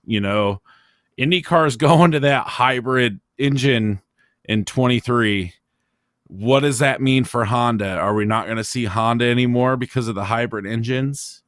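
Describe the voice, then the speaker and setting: A man hosting a motorsport podcast, giving his opinions in casual, halting talk as he thinks aloud. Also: mid-range voice, a bit bright, dry recording, clean studio sound. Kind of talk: casual racing discussion, opinionated.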